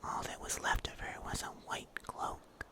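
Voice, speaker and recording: A man playing a wry, protective huntsman in a breathy, whispered ASMR roleplay. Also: close to an everyday voice. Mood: ominous, wry. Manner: slow, hushed, gently teasing. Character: mysterious huntsman in dark woods, wry and protective.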